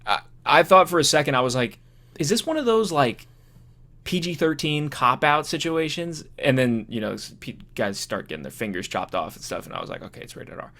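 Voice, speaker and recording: A man co-hosting a casual movie discussion podcast, talking informally and thinking out loud in a halting way. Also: on the high side, very animated. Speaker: podcast co-host.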